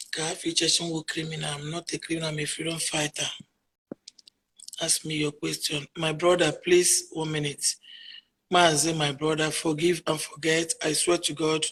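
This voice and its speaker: A husky-voiced Nigerian woman, Igbo by first language, speaks in a fairly flat melody over a phone line. Her delivery is emphatic, sliding from low murmurs to loud, insistent declarations. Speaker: interviewee, activist. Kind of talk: emotional call-in interview.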